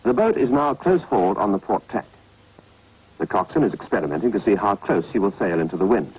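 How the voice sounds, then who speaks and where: British man, lively narration, dull old film soundtrack. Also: lowish voice, brisk, breathy edge. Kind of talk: scripted instructional film narration.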